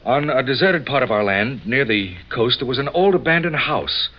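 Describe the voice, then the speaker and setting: A man acts a frightened, earnest young man in a vintage radio crime drama. He is agitated and melodramatic, with wide swings in pitch. The sound is dull, as on an old broadcast. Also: expressive, normal speed, natural voice. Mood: earnest, troubled. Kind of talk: 1940s radio drama acting. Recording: muffled old radio recording.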